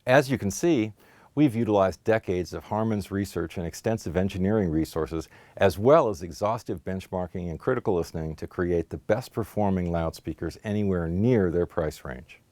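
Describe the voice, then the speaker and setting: Deep-voiced man giving a promotional presentation. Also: moderate pace, dark tone. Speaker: company spokesman. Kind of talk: polished promotional product explanation.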